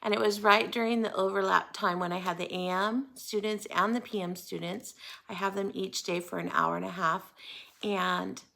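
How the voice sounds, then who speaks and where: A woman's voice: mid-range voice, steady tone, moderate pace, gravelly, sharp tone. An American woman chatting casually to viewers as host of a hobby vlog, in a friendly, talkative manner. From United States. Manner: chatty, friendly greetings and asides. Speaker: host of a cross-stitch video channel.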